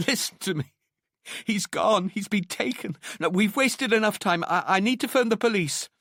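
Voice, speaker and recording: A man's voice: high-pitched, normal speed, sharp tone, no room sound, clean studio sound, voice pushed up, exaggerated voice. A man voicing a desperate, distraught mother in an audiobook, with a wavering voice. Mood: frantic, insistent. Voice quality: weak, wavering.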